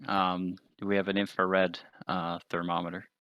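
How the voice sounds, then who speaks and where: A man with a very deep but crisp-edged voice talks through a tabletop game as a player. He is casual yet precise and technical, in a close, dry recording. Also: level tone, moderate pace, pushed and bright, no room sound, highs cut off.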